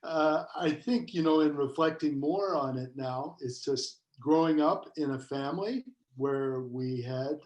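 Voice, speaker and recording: A man, a missionary priest, telling stories in a relaxed way on a video call. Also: fairly high pitch, measured pace, few pauses, reverberant, lo-fi sound. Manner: relaxed anecdotal storytelling. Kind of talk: casual conversation on a video call.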